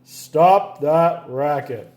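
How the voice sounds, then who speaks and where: A man's voice: on the high side, drawn-out words, darkish tone. A man voicing a stern, exasperated father frog in a children's storybook, barking orders. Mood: exasperated yelling.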